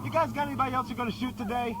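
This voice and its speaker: A man's voice: very high voice, fairly even intonation, rapid-fire. A man playing a pushy, urgent press man in a satirical radio theater sketch. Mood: brisk, bossy. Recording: noisy outdoor scene, loud crowd and traffic.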